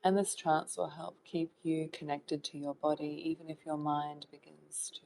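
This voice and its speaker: A woman leading a guided meditation in a soft, soothing voice that sometimes drops toward a whisper, in short phrases with long pauses. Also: sits low, little pitch movement, vocal fry, low-quality recording. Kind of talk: guided meditation, hypnotic trance induction.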